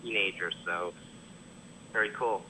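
A man's voice: low-pitched, steady tone, measured pace, crowd noise. A male songwriter calling in to a radio show by cell phone, laid-back and joking. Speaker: guest songwriter. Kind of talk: casual radio interview chat. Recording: noisy cell phone line.

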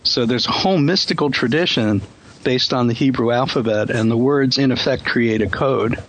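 A man's voice: mid-range voice, normal speed, poor audio. A man hosting a casual discussion, speaking thoughtfully with frequent ums and you-knows. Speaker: host.

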